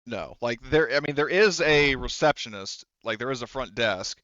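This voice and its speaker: A man casually improvising as a tabletop game master, explaining haltingly and often restarting his sentences. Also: mid-range voice, expressive, bright, forceful tone, no room sound, lo-fi sound.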